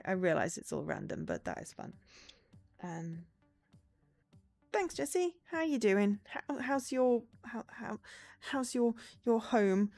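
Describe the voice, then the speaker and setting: A woman's voice: medium pitch, vocal fry, a little mellow. A woman chatting casually and in a rambling way as a game streamer on a livestream. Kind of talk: casual livestream chat and reading game dialogue aloud.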